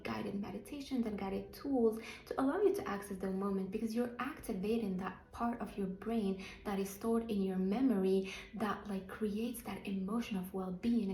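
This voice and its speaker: A woman's voice: medium pitch, dark tone, reverberant, rough recording. A woman from Morocco giving a casual, earnest motivational talk as a coach, often leaning on "like" as a filler. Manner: earnest, heavy use of "like" fillers.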